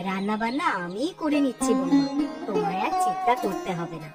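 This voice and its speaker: A woman voicing a caring, gently bossy older mother-in-law in a children's cartoon. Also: on the high side, sing-song, a little fast. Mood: soothing, fussing. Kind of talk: Bengali children's cartoon voice acting. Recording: background music under the voice.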